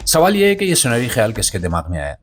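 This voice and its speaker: A man's voice: mid-range voice, wildly swooping pitch, quick pace, faint music behind. A man hosting a satirical commentary show, delivering a scripted monologue in a theatrical, mock-solemn way.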